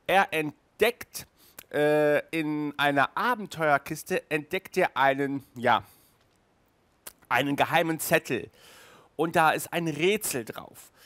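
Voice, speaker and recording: A middle-aged man presents a casual review in an enthusiastic, chatty way. He takes his time as he talks, in a voice a little higher than most men's. The sound is dry, picked up by a headset mic in a small room. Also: takes it slowly, fairly bright tone, dry recording. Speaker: host of an audio drama review show. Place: small furnished room indoors, on a couch.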